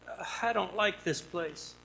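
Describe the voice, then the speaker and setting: A man's voice: sits high, unhurried, creaky, sharp tone, full character voice, rough recording. An actor playing a frightened, reluctant young man in a radio drama, speaking in a plaintive, nervous way. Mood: scared, balking. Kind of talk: old-time radio drama acting.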